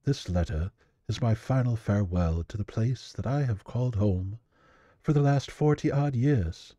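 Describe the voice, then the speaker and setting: A man's voice: lowish voice, lively intonation, a little slow, dark tone, dry recording. A man playing a weary, kindly old tavern keeper, reading his letter in a solemn, formal, reassuring way for a fantasy audio roleplay.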